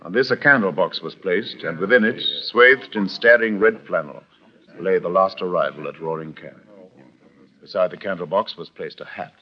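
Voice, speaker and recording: A man narrating a frontier tale as a radio-drama storyteller, measured, theatrical and precise in his wording. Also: low voice. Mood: measured, dramatic. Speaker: narrator and character actor. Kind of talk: dramatic scripted storytelling, old-time radio. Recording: dull vintage radio recording.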